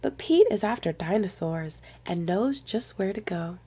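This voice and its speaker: A woman reading a picture book aloud to young children in an expressive storyteller's manner. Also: mid-range voice, a little slow, slightly rough.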